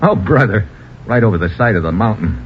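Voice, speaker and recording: A noisy, muffled old radio drama recording carries a man acting a tough American hero. His deep voice swings widely as he delivers clipped, slangy, wry commands. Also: animated, quick pace, close to an everyday voice. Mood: wry, relieved.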